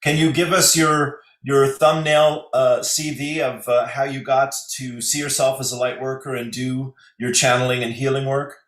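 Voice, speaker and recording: A male host introduces and encourages his guest over a video call, his voice carrying in a noticeably echoey room. He speaks in a middle register with a friendly, affirming manner, quick to say "yeah" and "beautiful.". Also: medium pitch, level tone, fairly bright tone. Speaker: host of an online spiritual interview show. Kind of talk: casual interview hosting. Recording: roomy video call audio.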